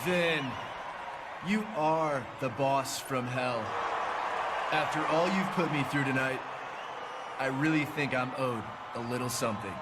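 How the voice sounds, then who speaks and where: A man's voice: mid-range voice, a little slow, long pauses, close to an everyday voice. A man playing a defiant, taunting wrestler giving a promo in a video game. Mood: resentful, mocking. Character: defiant, taunting young male wrestler bullying his boss. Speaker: wrestler addressing arena crowd. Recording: very noisy, roaring crowd behind. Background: loud arena crowd.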